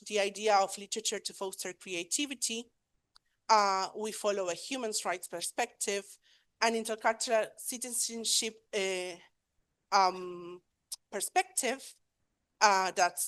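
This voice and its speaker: A woman's voice: fairly high pitch, fairly bright tone. A woman from Argentina, giving an academic conference talk in English, hesitant, with many fillers and self-corrections. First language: Spanish. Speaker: conference presenter. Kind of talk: academic presentation.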